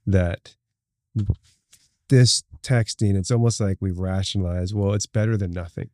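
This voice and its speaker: A man's voice: low voice, steady tone, a little slow, warm tone, dry recording, studio quality. A middle-aged man talking casually as a guest in a conversational interview. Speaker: advertising strategy executive, interview guest.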